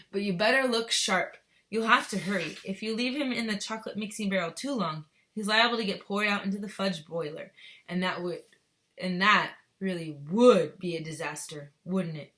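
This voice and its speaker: A woman voicing an eccentric bearded chocolate maker from a children's storybook, flippant and excitable, in a brisk, emphatic, theatrical way. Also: medium pitch, lightly acted. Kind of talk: children's storybook read-aloud.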